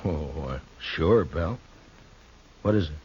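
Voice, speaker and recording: An actor playing a stoic, plain-spoken frontier marshal in a radio western, laconic and sometimes halting. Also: male voice, rumbling low, expressive, darkish tone, slightly rough, full character voice. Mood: awkward, gentle. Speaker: lead actor and narrator. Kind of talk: 1950s radio western acting. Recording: dull old radio recording with music.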